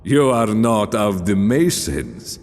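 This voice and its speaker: A man's voice: lowish voice, very animated, slow pace, darkish tone, in a large hall, soft background music, voice pushed up, full character voice. A man voicing a drunken, boastful nobleman in a theatrical, exclaiming manner for a gothic audiobook. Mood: incredulous. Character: drunken, boastful Italian nobleman, excitable and incredulous. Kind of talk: gothic audiobook character acting.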